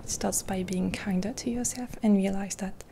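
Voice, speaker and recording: A woman speaking in a gentle, half-whispered ASMR roleplay, rambling softly and reassuringly with pauses, close to the mic. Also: mid-range voice, fairly even intonation, gravelly, slight background noise. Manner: caring, reassuring. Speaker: ASMR creator. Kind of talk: soft-spoken ASMR roleplay.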